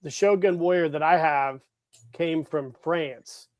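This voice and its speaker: A man's voice: on the high side, darkish tone, no echo, highs cut off. A man guesting on a podcast, chatting casually and chiming in with enthusiastic reactions. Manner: enthusiastic, chimes in with quick reactions. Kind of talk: casual chat.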